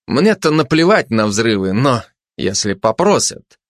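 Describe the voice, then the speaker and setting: A man's voice: medium pitch, sing-song, dry recording, studio quality. Man as a haughty, menacing tough dog. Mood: contemptuous threat. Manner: haughty, sneering, drawn-out threats and pompous declarations.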